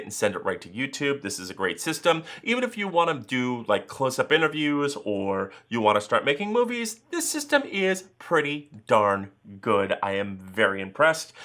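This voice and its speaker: A man with a very deep voice reviewing gear in a casual vlog, talking in a relaxed way with many uhs. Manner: relaxed, filler-heavy talk with many uhs.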